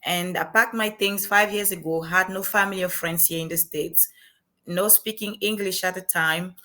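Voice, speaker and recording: Cameroonian woman, french first language, lowish bright voice, earnest motivational talk. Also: fairly even intonation, moderate pace. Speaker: guest, inspirational speaker. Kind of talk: interview chat.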